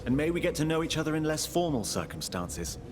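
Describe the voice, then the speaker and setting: A male actor playing a gracious, thoughtful young prince in a video game, with a courteous, measured formality. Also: fairly high pitch, big pitch swings, background noise. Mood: gracious, sincere. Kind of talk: dramatic video game acting. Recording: music behind the voice.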